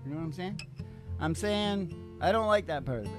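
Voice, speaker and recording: Male host, high-pitched, folksy and irreverent, for rambling comic monologue. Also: animated, fairly quick, soft background music. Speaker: radio show host and singing DJ. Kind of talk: folksy comedic storytelling monologue over music.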